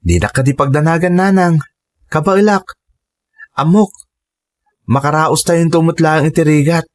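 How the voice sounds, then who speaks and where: A man plays a dutiful young male student in an audio drama. His voice sits fairly high for a man, and he speaks with earnest respect, like a son who puts his family first. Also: normal speed, lightly acted. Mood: reassuring.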